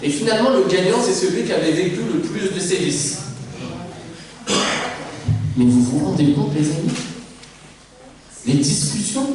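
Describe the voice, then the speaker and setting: A man from Mauritius preaching a sermon as a pastor, lively, repeating phrases for emphasis and putting rhetorical questions to the congregation. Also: on the high side. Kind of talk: sermon on family life. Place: church. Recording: echoey church hall from far off.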